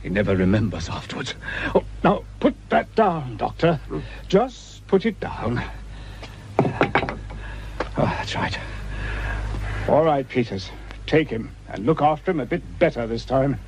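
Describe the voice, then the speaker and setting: Measured, mid-pitched, pragmatic official. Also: male voice, very animated, noisy background. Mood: soothing, commanding. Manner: measured, formal, unruffled. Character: coolly pragmatic man in charge of research scientist. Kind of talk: broadcast radio drama acting.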